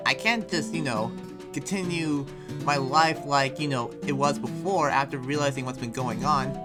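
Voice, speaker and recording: A man's voice: medium pitch, moderate pace, over music, close to an everyday voice. A man playing an earnest, troubled demon slayer in an improvised game roleplay, explaining himself in a hesitant, rambling way. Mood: worried, earnest.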